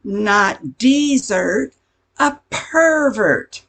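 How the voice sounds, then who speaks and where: A woman's voice: on the high side, animated, drawn-out words, fairly bright tone, exaggerated voice. A woman playing a brash, touchy diner waitress in a radio comedy play, tough-talking with slangy grammar. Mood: exasperated.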